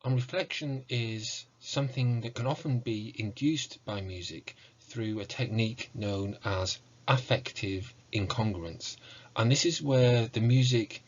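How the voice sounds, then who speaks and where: A man giving an academic lecture in a measured, explanatory way, leaning on key words. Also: mid-range voice, muffled recording. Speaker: university lecturer. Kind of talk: academic lecture with slides on video game music.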